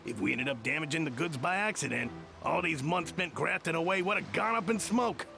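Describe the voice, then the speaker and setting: A man's voice: on the high side, fairly quick, creaky, over music. A man playing a cocky, patronizing smuggler boss in a video game. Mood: self-satisfied. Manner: patronizing, self-assured. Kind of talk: video game character acting.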